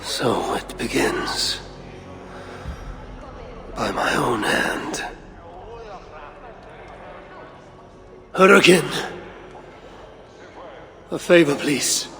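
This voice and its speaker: An actor playing a proud, vengeful older Norse god in a video game cutscene, speaking in a grave, imperious, deliberate way. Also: male voice, mid-range voice, sing-song, vocal fry, fairly bright tone, full character voice. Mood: grim, commanding. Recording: long hall reverb with music behind.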